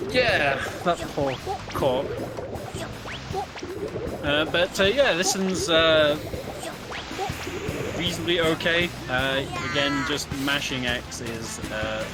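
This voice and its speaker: A man's voice: on the high side, animated, slow, pauses often. A man giving casual speedrun commentary, explaining the game in a hesitant way. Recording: loud game music behind. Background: loud video game music.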